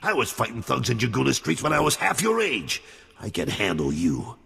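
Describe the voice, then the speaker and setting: An actor playing a gruff older colonel in a video game cut-scene, with a raspy, gravelly voice and blunt, drawling orders. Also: male voice, mid-range voice, huge pitch swings, moderate pace, pushed and bright, full character voice. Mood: furious. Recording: long hall reverb.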